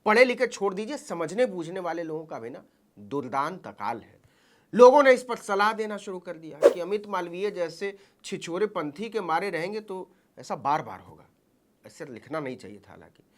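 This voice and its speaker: A woman's voice: on the low side, very animated, moderate pace, a little hiss or hum. A woman delivering satirical political commentary as a news commentator, with a mocking, theatrical delivery.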